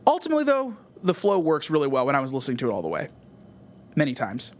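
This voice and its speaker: Male podcast host, voice a bit above average pitch, delivering keen, semi-prepared music commentary. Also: huge pitch swings, fast. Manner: enthusiastic, often tags points with "right?". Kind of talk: album review monologue, semi-prepared commentary.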